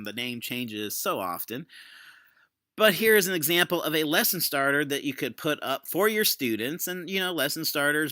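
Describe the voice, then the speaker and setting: A man's voice: medium pitch, pushed and bright, no echo, clean studio sound. A man giving a casual screen-share tutorial as an educator, explaining things conversationally. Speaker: educator demonstrating a software tool.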